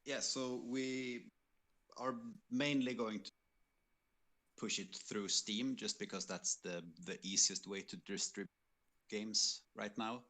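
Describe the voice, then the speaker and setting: A man with a deep yet bright, forceful voice. He explains things informally, as a game team member fielding a question on a livestream. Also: steady tone, slow pace. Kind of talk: casual explanation.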